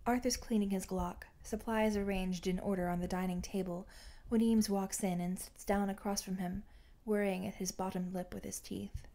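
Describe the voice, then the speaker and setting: A woman's voice: medium pitch, fairly even intonation, moderate pace, hardly any pauses, mellow. A woman reading fan fiction aloud as scripted audiobook-style narration.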